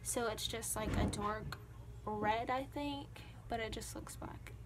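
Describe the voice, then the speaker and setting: A woman's voice: fairly high pitch, steady tone, measured pace, background noise. A young woman in a small bedroom chatting casually to her phone camera, rambling and filling her talk with "like". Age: young adult. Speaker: vlogger. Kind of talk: casual vlog chat. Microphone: phone camera at arm's length and on a dresser.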